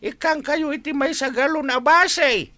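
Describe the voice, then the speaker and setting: A woman's voice: high voice, measured pace, pushed and bright, dry recording. A woman with an Ilocano accent playing an anxious old village grandparent in a radio drama. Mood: worried. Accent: Ilocano, northern Philippines. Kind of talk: radio comedy drama acting.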